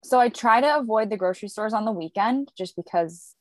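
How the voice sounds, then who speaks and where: A woman co-hosting a podcast, explaining her habits in a relaxed, rambling way. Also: mid-range voice, a little fast, dry recording. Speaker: podcast co-host. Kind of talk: casual chat.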